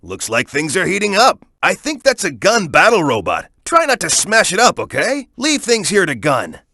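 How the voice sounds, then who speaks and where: A man's voice: high voice, huge pitch swings, normal speed, sharp tone, dry recording, exaggerated voice. A man voicing a cocky, tough young echidna hero in a video game, giving hints in a confident, punchy, instructive manner. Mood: cocky, wary. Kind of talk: video game voice acting, tutorial hints and banter.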